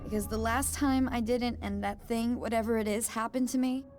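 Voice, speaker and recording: A woman's voice: on the high side, fairly even intonation, moderate pace, close to an everyday voice. An actress playing a nervous young woman who works at a motel, hesitant and stammering, in a video game. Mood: uneasy. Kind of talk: video game cutscene acting. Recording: game audio over music.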